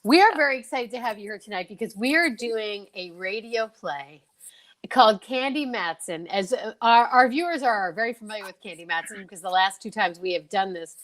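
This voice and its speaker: A woman's voice: mid-range voice, lively intonation, brisk, fairly bright tone. A woman hosting a show with informal, teasing announcer patter, hyping things up as she goes. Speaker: host of an online radio-play show. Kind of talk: lively unscripted show hosting.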